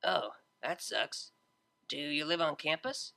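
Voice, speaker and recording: Play a laid-back, teasing young mare DJ as the story's reader puts her on: bright and a little gravelly, lively up and down, slangy and blunt, a touch back from the mic. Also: mid-range voice, slow, exaggerated voice. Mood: casual. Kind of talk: fan fiction reading, character voice.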